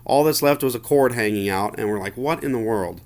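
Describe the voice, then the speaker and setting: A man with a medium-pitched voice lays out a customer complaint in plain, informal words. He speaks haltingly, often doubling back and repeating himself. He comes across as aggrieved. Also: a little mellow. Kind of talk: informal spoken account of a grievance.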